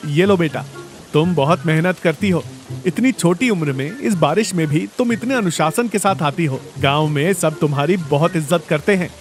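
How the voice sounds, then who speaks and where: Man voicing a high-pitched kindly stall keeper. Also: normal speed, soft background music, lightly acted. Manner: gentle, reassuring. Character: kindly middle-aged husband, humble village food-stall keeper.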